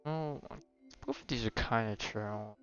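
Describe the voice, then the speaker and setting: Casting: male game streamer, medium pitch, casual offhand chat, lo-fi muffled audio with faint music. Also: unhurried. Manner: profane, offhand. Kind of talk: casual live gameplay commentary.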